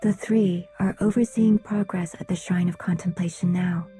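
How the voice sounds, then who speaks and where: An actress playing a solemn, reserved alien woman in a video game, speaking in a measured, formal way. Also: fairly low pitch, fairly even intonation, warm tone, husky, faint music behind. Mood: grave, formal. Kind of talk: video game cutscene dialogue.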